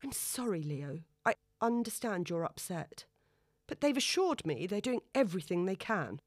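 A woman's voice: medium pitch, big pitch swings, normal speed, dry recording, clean studio sound. A woman doing a meek, pleading mother. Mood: apologetic. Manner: soft, apologetic, pleading. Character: anxious, meek mother placating ex-husband.

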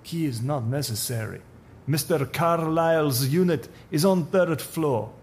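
A man's voice: fairly high pitch, a little slow, a little mellow, slight background noise, pitched above the performer's own voice. Man doing a stiff Russian building super. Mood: nervous. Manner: stiff, officious, broken English. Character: gruff tall male building supervisor, Russian accent.

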